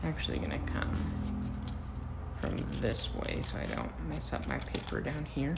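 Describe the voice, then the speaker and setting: American male primary teacher, casual and playful demo for young children, clearly noisy home recording. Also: on the high side, big pitch swings, normal speed. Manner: playful, talks to young viewers as he works. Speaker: first-grade teacher. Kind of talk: casual craft demonstration for children.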